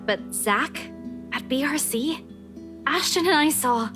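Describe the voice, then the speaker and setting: A woman voicing a quiet, worried, timid young woman in a visual novel, soft and hesitant. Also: high-pitched, animated, slow pace, music in the background, voice pushed up. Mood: subdued, worried.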